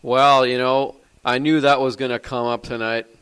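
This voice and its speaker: A man hosting a call-in show, brisk and dry, dismissive of prank callers. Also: medium pitch, level tone, fairly bright tone, clear, resonant tone.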